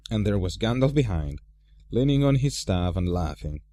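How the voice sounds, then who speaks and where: A man narrating a storybook as an amateur reader, with an expressive, theatrical delivery. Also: fairly low pitch, moderate pace, no room sound.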